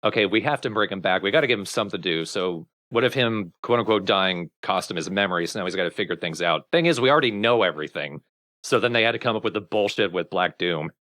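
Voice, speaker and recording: A man's voice: sits low, fast, a bit bright, no room sound. A man hosting a let's play, chatting casually about games in a wry, bluntly critical way. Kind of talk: casual gaming commentary with friends.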